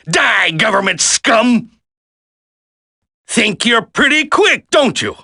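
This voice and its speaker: A woman's voice: a little high, big pitch swings, very slow, gravelly, bright, forceful tone, husky, exaggerated voice. A woman voicing a gleefully sadistic, hot-tempered video game villain, gloating and taunting. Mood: gleeful, menacing.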